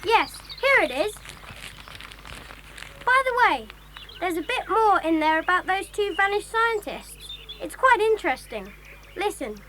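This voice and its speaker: A woman voices a chirpy, friendly schoolboy in a children's adventure drama. The voice is very high and bright, keen and crisply spoken, over faint outdoor ambience. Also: a little hiss or hum, full character voice. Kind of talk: children's audio drama acting.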